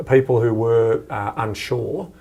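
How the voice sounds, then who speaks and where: A man's voice: medium pitch, steady tone, unhurried, dark tone, highs cut off. An Australian man hosting a podcast, chatting casually and informally with a guest about economics. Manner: informal, colloquial. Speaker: podcast host.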